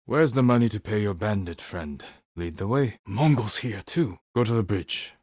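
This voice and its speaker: An actor playing a stern, honorable samurai warrior in a video game, with a husky voice and a measured, terse, commanding manner. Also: male voice, fairly low pitch, lively intonation, darkish tone, no room sound, clean studio sound. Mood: stern, resolute. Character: stern honorable adult male samurai warrior, dutiful and weary. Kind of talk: video game character voice lines.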